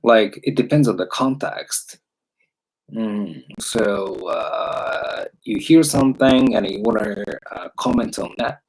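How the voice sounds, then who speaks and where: Husky-voiced man explaining slowly. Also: medium pitch, big pitch swings, a little mellow, low-quality recording. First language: Japanese. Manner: halting, thinking aloud with many fillers. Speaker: English teacher. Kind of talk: livestream Q&A.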